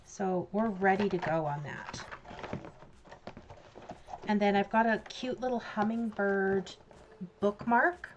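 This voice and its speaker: A woman's voice: mid-range voice, takes it slowly, pauses often, slight background noise. Woman, Canadian accent, casual crafting chat, giggly, self-mocking, slightly muffled sound. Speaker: crafts vlogger.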